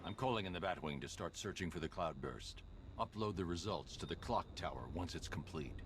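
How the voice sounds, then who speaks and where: Stern game vigilante, super deep voice, terse and commanding, noisy background. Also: male voice, expressive, brisk. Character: grim, stern adult male vigilante hero. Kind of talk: video game cutscene acting.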